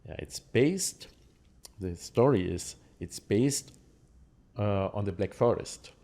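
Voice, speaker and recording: Mellow, low-voiced German man. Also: animated, takes it slowly, creaky. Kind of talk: conversational explanation in an interview.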